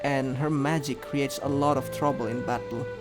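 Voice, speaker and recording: A man's voice: medium pitch, fairly even intonation, moderate pace, loud music behind the voice, natural voice. A man voicing a blunt, bossy but grudgingly kind young woman in a visual novel, frank and matter-of-fact.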